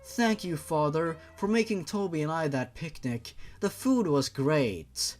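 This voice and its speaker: A man's voice: a little high, animated, a little slow, darkish tone, faint music behind. A man voicing an affectionate young daughter from a romance story, with earnest, exaggerated sweetness. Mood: grateful.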